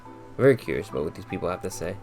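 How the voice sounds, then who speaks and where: Gravelly man musing over a game. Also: deep voice, expressive, very fast, darkish tone, faint music behind. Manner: rambling, musing. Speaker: game streamer. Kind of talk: casual reaction commentary over gameplay.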